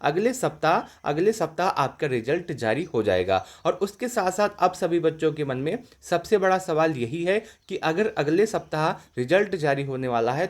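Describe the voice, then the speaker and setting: Higher-voiced man explaining. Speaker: exam-update video presenter. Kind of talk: informal explanatory talk to exam candidates.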